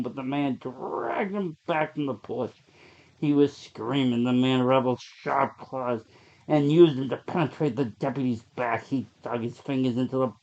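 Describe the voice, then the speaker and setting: A man reading a horror story aloud as a dramatic narrator, with deliberate, suspenseful delivery. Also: mid-range voice. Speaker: story reader. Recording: dull, lo-fi sound.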